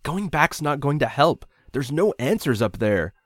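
A man playing a stubborn young man in an audiobook, arguing with desperate insistence and pressing every point. The voice sits clearly higher than the reader's deep narration. It is recorded dry and close. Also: sing-song, unhurried, dry recording. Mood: urgent, insistent. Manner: pushing, insistent.

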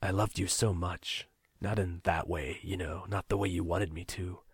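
A man's voice: lowish voice, animated, normal speed, a bit bright, breathy edge, no room sound, clean studio sound, full character voice. A man voicing a volatile, desperate young captor. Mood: wistful. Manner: harsh taunts turning to pleading whispers. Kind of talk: audiobook character voice.

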